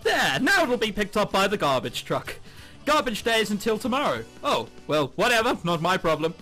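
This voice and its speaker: A man doing let's play commentary, reading visual novel text aloud in an excitable, giggly way with exaggerated reactions. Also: sits high, normal speed. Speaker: video game commentator. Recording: background music under the voice. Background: game music.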